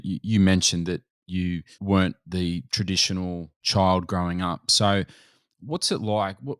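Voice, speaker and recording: A man's voice: low voice, dry recording, studio quality. An Australian man hosting an interview podcast. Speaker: podcast host.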